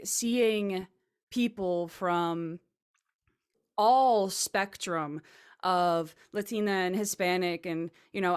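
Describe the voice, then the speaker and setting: A woman speaking earnestly and with feeling in a casual panel discussion, her voice often trailing softer. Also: on the low side, clear, resonant tone. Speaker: panel guest.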